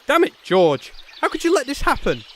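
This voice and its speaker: A man's voice: very high-pitched, wildly swooping pitch, normal speed, noisy background, full character voice. A man playing a pompous, stubborn noble knight in a comedy fantasy sketch, haughty and indignant. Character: pompous, blustering noble knight, proud and obstinate.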